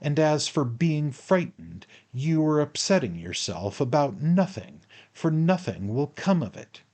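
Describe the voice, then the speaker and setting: A man voicing a self-confident, insolent manservant in an audiobook, speaking with deliberate, severe and stubborn calm. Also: fairly high pitch, voice pushed up. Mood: calm, dismissive. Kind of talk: audiobook character acting.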